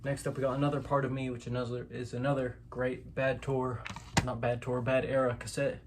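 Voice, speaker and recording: A man's voice: mid-range voice, little pitch movement, fairly quick. A man hosting an informal, unscripted show-and-tell of a collection, hedging and correcting himself as he goes. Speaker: host, collector showing their collection. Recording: clear background noise with clicks and clatter.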